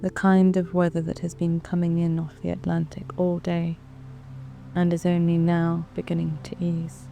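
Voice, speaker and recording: British woman, soft and soothing, very dark mellow tone, slightly creaky, guided meditation narration, measured pauses. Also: on the low side, completely flat delivery. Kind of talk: scripted sleep story meditation narration. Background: background music.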